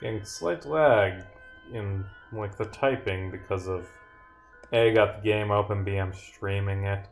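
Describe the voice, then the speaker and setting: A man's voice: lowish voice, a little slow, soft background music. A man chatting casually on a livestream as a game developer, thinking aloud off the cuff as he works. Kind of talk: casual livestream chat while working.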